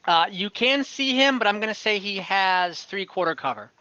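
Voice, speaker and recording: Man, game master, bright tone, fairly high voice, dry dull lo-fi sound. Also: expressive, clear, resonant tone, no echo. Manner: halting, fillers and self-corrections while ruling. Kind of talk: narrating and ruling in an online tabletop game. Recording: dull lo-fi audio.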